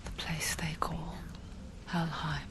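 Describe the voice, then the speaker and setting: A woman playing a knowing inner voice in a game, narrating intimately in a hushed, breathy, deliberate whisper. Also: sits low, little pitch movement, background noise. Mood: ominous.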